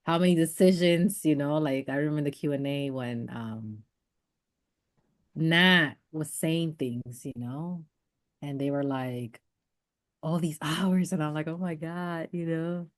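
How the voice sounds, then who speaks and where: A middle-aged woman, an actress, chatting casually over a video call and acting out what others said. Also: a little slow. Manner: animated, acts out quoted people with big jumps in pitch. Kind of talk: casual conversation.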